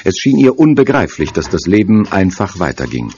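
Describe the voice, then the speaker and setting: A man's voice: low voice, very animated, normal speed, darkish tone. A man narrating a suspense radio play in a scripted, dramatic storytelling style. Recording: dull sound with faint noise.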